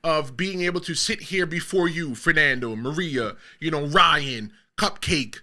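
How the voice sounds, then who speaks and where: Podcast host, man, middle-aged, high voice with a very bright edge, rambling casual storyteller. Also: measured pace. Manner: rambling storyteller, laughs loudly.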